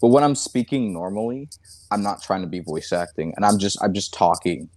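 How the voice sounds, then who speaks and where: A man with a deep voice answering accusations haltingly, in a flat, even way of talking. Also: no room sound. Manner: hesitant, halting, monotone. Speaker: content creator answering accusations.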